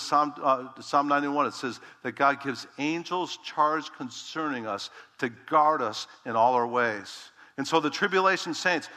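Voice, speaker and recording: A man preaches in a loud, emphatic way, his bright and forceful voice dropping low and then leaping up. The sound rings with the long reverb of a church sanctuary. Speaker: pastor. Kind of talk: sermon.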